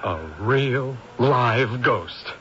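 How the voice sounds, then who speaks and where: An actor in a vintage radio drama playing an eager, money-minded film director who talks in an excitable, halting way. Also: male voice, mid-range voice. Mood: awed, eager. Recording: dull, slightly noisy old radio recording.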